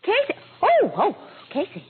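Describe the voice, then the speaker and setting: A woman's voice: extremely high pitch, huge pitch swings, unhurried, phone-quality sound, heavily acted. Wry, skeptical girl reporter, noisy radio. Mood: sleepy, annoyed. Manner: dry, wry, questioning. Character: sardonic, skeptical young female newspaper reporter sidekick. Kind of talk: 1940s radio drama acting. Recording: noisy, band-limited old radio recording.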